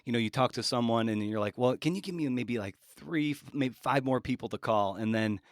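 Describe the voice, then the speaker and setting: A man's voice: mid-range voice, brisk, dry recording, studio quality. A man hosting a podcast interview in a casual, conversational way, feeling his way toward each phrase as he rambles.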